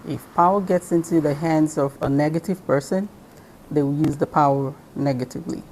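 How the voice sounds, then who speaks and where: A woman from Nigeria, a guest in an interview, explaining her spiritual practice slowly and carefully with many ums and uhs. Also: deep voice, darkish tone, a little hiss or hum, low-quality recording. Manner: slow and measured, with frequent um and uh fillers.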